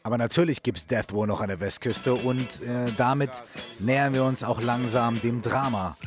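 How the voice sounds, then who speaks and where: A man's voice: mid-range voice, moderate pace. A man co-hosting a music show in a casual, colloquial and emphatic way. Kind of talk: casual conversational music commentary. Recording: voice over loud music bed.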